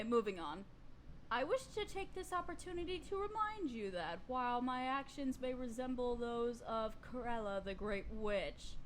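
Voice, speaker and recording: A woman's voice: high voice, a little hiss or hum. A woman playing a vain, domineering evil sorceress queen in a fantasy audio roleplay, speaking in an imperious, theatrical drawl. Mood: exasperated, scornful.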